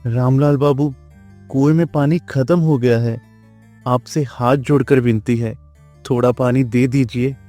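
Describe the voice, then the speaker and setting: A man's voice: medium pitch, fairly even intonation, a little slow, warm tone, faint music behind, lightly acted. A man voicing a worried, humble village farmer in a Hindi moral-story cartoon, earnest and pleading.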